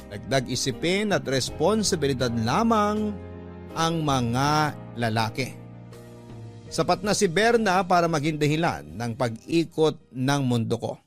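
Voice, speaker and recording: Male narrator, slightly higher pitch, earnest radio-drama letter reading, strong music bed. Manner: earnest storytelling, reading a woman's letter in first person. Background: background music.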